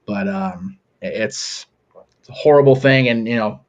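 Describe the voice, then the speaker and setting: A man talks loosely on a chatty podcast, stumbling over his words, restarting often and swearing in passing. His voice sits at a middle pitch. The sound is dull and muffled. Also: a little slow. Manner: rambling, stammering restarts, swears casually. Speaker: podcast co-host. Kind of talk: casual chat.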